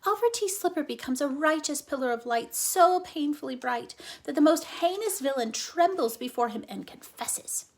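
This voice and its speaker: A woman's voice: high-pitched, lively intonation, moderate pace, few pauses, voice pushed up. A woman voicing an earnest, cynical young girl who loves comics, explaining things emphatically in a storybook reading. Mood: dramatic, emphatic. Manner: emphatic, instructive.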